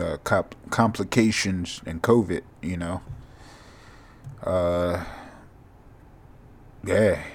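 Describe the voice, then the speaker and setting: A sleepy young man with a monotone, mumbly voice, hosting a rambling, profane solo podcast. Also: deep voice. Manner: casual, profane, drifting from thought to thought. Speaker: podcast host.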